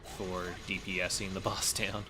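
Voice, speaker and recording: A man's voice: sits low, little pitch movement, normal speed. A man giving explanatory commentary on his video game speedrun. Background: game music.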